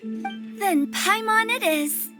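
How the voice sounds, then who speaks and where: A woman's voice: extremely high pitch, drawn-out words, over music, heavily acted. A woman playing a playful, teasing teenage girl with grand theatrical delivery in a video game cutscene. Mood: grandly playful.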